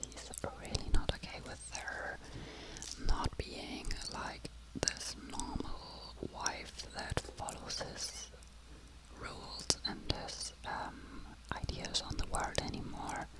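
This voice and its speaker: Casting: ASMR book reviewer, soft breathy whisper throughout, unhurried and hesitant, feeling for words. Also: rough recording. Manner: halting, searching for words in a non-native language.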